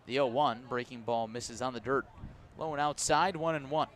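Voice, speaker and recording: An American man doing live play-by-play baseball commentary for radio, measured between pitches and lifting on plays. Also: fairly bright tone. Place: outdoor ballpark broadcast.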